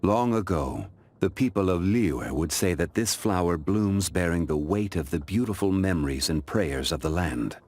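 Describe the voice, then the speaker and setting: A man playing an ancient, calm, dignified gentleman in a video game, speaking in a formal, measured, reflective way. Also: low-pitched. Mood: gentle, solemn. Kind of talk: scripted video game character voice lines.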